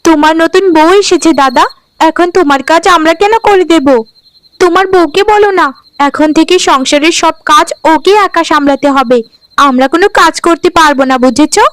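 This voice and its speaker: A woman's voice: extremely high pitch, normal speed, dry recording. A woman voicing a spiteful, bossy young sister-in-law in a cartoon, scolding and taunting haughtily. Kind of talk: Bengali cartoon dialogue acting.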